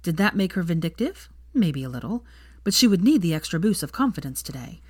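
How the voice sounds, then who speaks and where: A woman reading fan fiction aloud as expressive, scripted story narration. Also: fairly low pitch, fairly quick, husky, no echo, studio quality.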